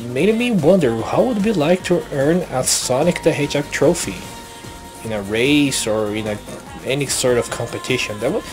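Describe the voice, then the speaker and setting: Young Brazilian man, Brazilian Portuguese accent, halting and earnest, casual home-made vlog. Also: medium pitch. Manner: halting, many restarts and self-corrections, earnest. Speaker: YouTuber.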